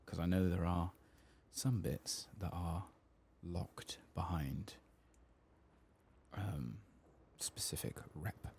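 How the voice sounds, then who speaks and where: A man gives hushed, unhurried ASMR commentary over a game. His voice is low with a gravelly fry and often drops to a whisper, with faint noise and clicks behind. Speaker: gaming ASMR streamer.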